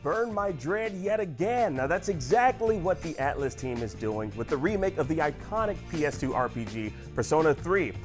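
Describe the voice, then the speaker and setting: A man's voice: on the high side, sing-song, moderate pace, hardly any pauses, soft background music. A man presenting a scripted interview, introducing and questioning guests as a host.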